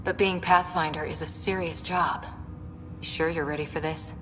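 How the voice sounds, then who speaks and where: A woman's voice: low voice, steady tone, moderate pace, close to an everyday voice. A woman playing a composed, serious senior space crew officer in a video game, sober and direct. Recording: long reverb, music behind.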